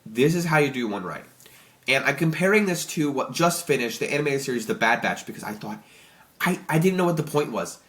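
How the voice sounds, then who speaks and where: Stuffy-nosed young man rambling excitedly. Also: mid-range voice, fairly quick. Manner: rambling, excitable, many restarts. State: stuffy nose from allergies. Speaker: YouTube reviewer. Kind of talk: casual spoiler review.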